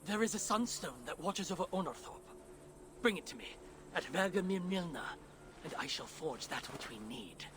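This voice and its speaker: An actor playing an older dwarf smith, learned and earnest, speaking formally and with nervous deference in a video game. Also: male voice, a little high, expressive, normal speed, background noise, lightly acted. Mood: earnest, grave. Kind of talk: video game cutscene acting.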